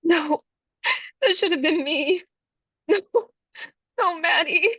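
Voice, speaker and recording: Woman acting a high-voiced, defiant young pageant contestant. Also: slow, a bit bright, no room sound, dull audio, exaggerated voice. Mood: grieving. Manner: urgent, emotional, defiant. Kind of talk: screenplay table read.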